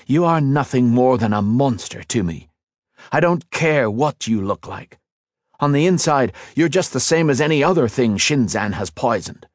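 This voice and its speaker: A man's voice: medium pitch, expressive, moderate pace, no echo, pitched above the performer's own voice, lightly acted. A man voicing an angry young swordsman hero, speaking in a tight, clipped, defiant way. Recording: dry close studio read.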